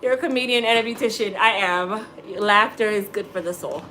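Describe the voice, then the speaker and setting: A woman's voice: medium pitch, brisk, bright, forceful tone, slight background noise, poor audio. A middle-aged American woman, a hairstylist, chatting casually with live-stream viewers, joking and teasing as she answers. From United States. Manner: joking, teasing, laughs often.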